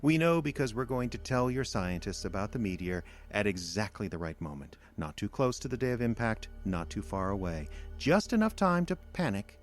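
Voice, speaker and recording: A man performs a cold alien villain for an audio drama. The voice sits low and moves with patient, measured condescension, lecturing like a superior being who holds humans in contempt. Faint music runs underneath. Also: very animated. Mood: smug, gloating.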